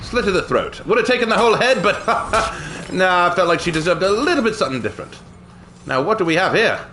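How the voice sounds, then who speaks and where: A young British man commentates his gameplay live with a high, bright voice that leaps all over the place. He hams it up, slinging British slang and cheeky curses at his chat. A touch of soft background noise sits under him. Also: very animated, brisk. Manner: theatrical, jokey, lots of British slang and swearing. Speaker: game streamer.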